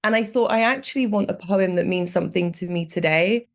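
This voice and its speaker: A woman's voice: on the low side, fairly quick. Reflective young British woman. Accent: British English. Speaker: guest. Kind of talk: conversational podcast chat.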